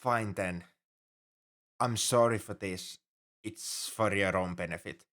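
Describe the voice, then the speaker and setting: Casting: male actor for a paranoid alien shipmate, lowish voice, formal and fretful, for a sci-fi roleplay audio drama. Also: slow, a bit bright. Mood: nervous, determined. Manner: fretful, formal, over-earnest, flustered. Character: anxious, paranoid male alien crewmate fussing over human.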